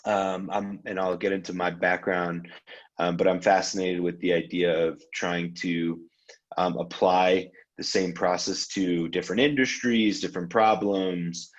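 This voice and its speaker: A man from Iran giving a casual presentation on a video call, relaxed and loose, with frequent ums and restarts. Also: sits low, measured pace, muffled recording. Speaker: guest speaker, venture capitalist.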